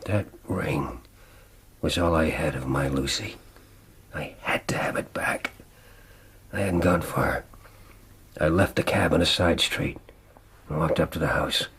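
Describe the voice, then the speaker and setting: Very deep, gravelly vengeful killer. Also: male voice, a little slow, long pauses, fairly bright tone, a little hiss or hum, exaggerated voice. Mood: grim, brooding. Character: vengeful male killer confessing, grim and unrepentant. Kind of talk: radio drama acting.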